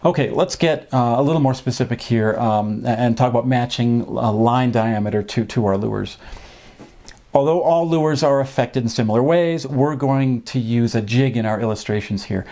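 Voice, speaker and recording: Middle-aged man explaining in measured phrases. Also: mid-range voice, warm tone. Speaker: fishing instructor and presenter. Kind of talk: informal instructional talk to camera. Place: small home office, indoors.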